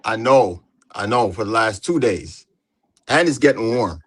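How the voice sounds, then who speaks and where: A man hosting a casual livestream chat, easygoing and quick to laugh as he puts questions to his guests. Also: on the low side, animated, breathy edge. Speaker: livestream host.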